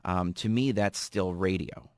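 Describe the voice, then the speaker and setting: A man's voice: deep voice, measured pace, dry recording. A man giving expert interview commentary, emphatic and fond of repeating his sentence openings. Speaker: radio creative director, expert interviewee.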